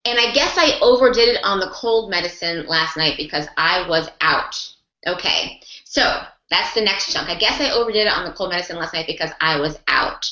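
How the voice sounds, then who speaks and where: A woman's voice: on the low side, expressive, moderate pace, pushed and bright, reverberant, muffled recording. A woman mentoring a group of sign language interpreters, encouraging and talking through examples with lots of rephrasing and repetition. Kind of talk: online group class discussion.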